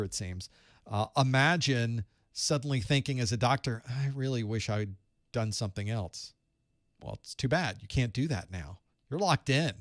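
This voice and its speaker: A man's voice: mid-range voice, no echo, clean studio sound. A man hosting a live study group as an IT instructor, talking earnestly and off the cuff, often restarting and repeating phrases.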